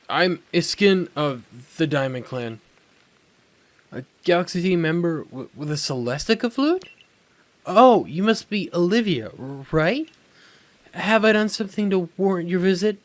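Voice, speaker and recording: A man's voice: on the high side, expressive, measured pace, long pauses, no echo. A man voicing a shy, jumpy young clan warden for a fan-made game series, hesitant, stammering and polite. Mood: nervous.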